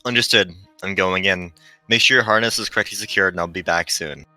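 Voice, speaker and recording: A man's voice: sits low, brisk, bright, forceful tone, dry recording, soft background music, poor audio. A man voicing a dutiful, timid AI navigator in a visual novel. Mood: resolute.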